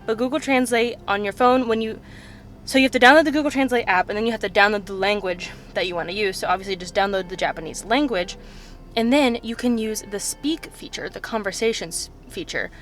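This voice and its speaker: A woman's voice: medium pitch, a little fast, fairly bright tone, slight background noise. A young woman in her twenties chatting casually to her camera, recorded at home and then in a parked car, talking in a chatty, slightly self-conscious way with lots of fillers. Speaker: vlogger. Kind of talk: casual vlog chat. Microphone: camera on-board mic at arm's length.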